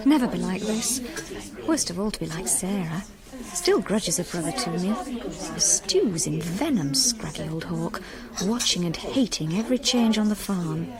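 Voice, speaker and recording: An actress playing a hard-working farmer's wife in a radio drama, brooding, bitter and sardonically observant. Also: female voice, mid-range voice, animated, normal speed, music in the background, natural voice. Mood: brooding, resentful. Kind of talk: radio drama inner monologue and dialogue. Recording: loud chatter of a gathering behind.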